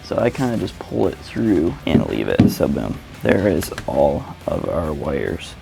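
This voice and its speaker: A man's voice: lowish voice, creaky, darkish tone. A man giving a casual, step-by-step how-to in an informal, plain-spoken way, as a video presenter. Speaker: motovlogger presenting a how-to tutorial. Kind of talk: casual step-by-step instructional narration.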